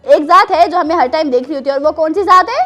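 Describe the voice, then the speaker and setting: A woman's voice: sits high, quick pace, over loud music. A Pakistani-accented woman hosting a children's show, speaking in an encouraging, teacherly way to young guests and viewers. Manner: encouraging, teacherly, with question prompts.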